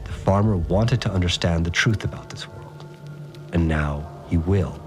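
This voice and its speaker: A man's voice: low voice, moderate pace, soft background music, close to an everyday voice. An actor playing a rattled, slangy, laid-back guy, telling his story conversationally in an audio drama. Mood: agitated, baffled. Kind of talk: informal first-person storytelling in audio drama.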